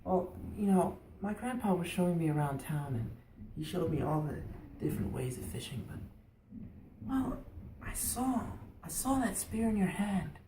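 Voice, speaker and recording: A man's voice: high-pitched, lively intonation, moderate pace, warm tone, pitched above the performer's own voice. A man voicing an eager, earnest twelve-year-old boy in a told folk tale. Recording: roomy, echoey, distant mic, faint noise.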